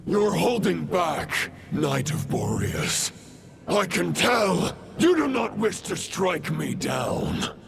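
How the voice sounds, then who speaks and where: An actor playing a proud, grave villain knight who speaks in a formal, pompous way, with a gravelly voice. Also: male voice, sits high, exaggerated voice. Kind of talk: game cutscene voice acting.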